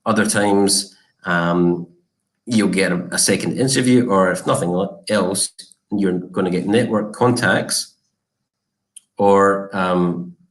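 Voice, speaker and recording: A man originally from Scotland, a college marketing director, giving a relaxed, informative live online presentation with frequent fillers. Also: deep voice, low-quality recording.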